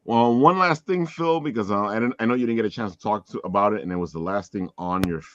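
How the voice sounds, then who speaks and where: A man's voice: fast talker, fairly bright tone, slight background noise. A man hosting a casual interview show, chatting informally with his guest and the audience. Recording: dull, low-fidelity call audio.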